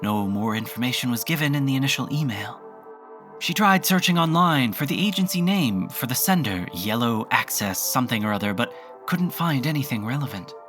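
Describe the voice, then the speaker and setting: A narrator with an androgynous voice reading a scripted story in a measured, wry, reflective way. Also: mid-range voice, expressive, fairly bright tone. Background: music under the voice.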